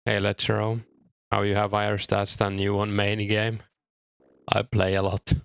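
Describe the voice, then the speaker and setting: A young man from Finland with a mild Finnish accent, chatting casually with viewers while streaming a game, relaxed and thinking aloud with small hesitations. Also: lowish voice, a little slow, creaky, clean tone, no room sound, lo-fi sound. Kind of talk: casual chat reading viewer comments.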